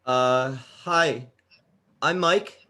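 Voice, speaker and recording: A man playing a nervous, awkward young bass player at an audition, reading hesitantly from a script. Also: very slow, bright, forceful tone. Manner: hesitant, tentative. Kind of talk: informal script table read.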